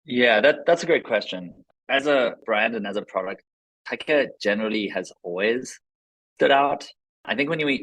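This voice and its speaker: A man in his forties, from Israel and South Africa, talks about his company in an earnest, enthusiastic way. His voice sits low and carries some husk. He restarts phrases now and then as he thinks aloud. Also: poor audio. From born in Israel, grew up in South Africa. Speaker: company co-founder and CEO, guest. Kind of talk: conversational podcast interview.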